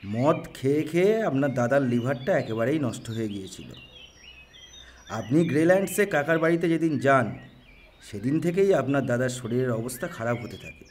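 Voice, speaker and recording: A man voicing an excitable, talkative lawyer who bursts in with big news, loud and cheerful. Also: medium pitch, moderate pace, pauses often, a little hiss or hum, natural voice. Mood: chatty. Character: excitable middle-aged male lawyer bearing news. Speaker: voice actor in a Bengali audio story. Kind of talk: audio drama acting.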